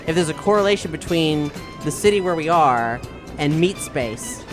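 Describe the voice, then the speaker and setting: Tentative higher-voiced man over clear music. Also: animated, moderate pace. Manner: tentative, thinking aloud, repeating words. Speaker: player in a tabletop roleplaying game. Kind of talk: casual improvised game discussion. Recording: music clearly audible behind voice. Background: background music.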